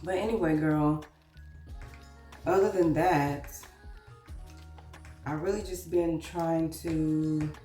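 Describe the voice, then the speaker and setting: A woman's voice: deep voice, steady tone, takes it slowly, long pauses, dark tone, echoey room, recorded from a distance, faint music behind. A woman vlogger chatting casually while getting ready, sounding congested from a recent cold, calling the viewer "girl.". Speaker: vlogger and author. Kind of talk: casual get-ready-with-me chat.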